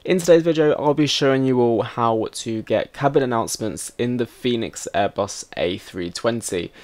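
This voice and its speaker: A man with a mid-pitched voice narrates a how-to video. He is chatty and instructional, guiding viewers step by step in casual language. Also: normal speed, darkish tone. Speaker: host of a flight simulator tutorial channel.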